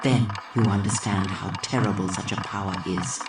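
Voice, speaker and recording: A woman voicing a weary, stern warrior queen who speaks in a measured, stately manner in an audio drama. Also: rumbling low, fairly even intonation. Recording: roomy, distant mic with music behind.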